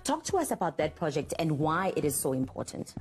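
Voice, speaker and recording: A woman from South Africa hosting a TV morning show, chatty, with stammered repeats and lively rises. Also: sits low, a little fast, breathy edge. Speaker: TV host. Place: TV studio set.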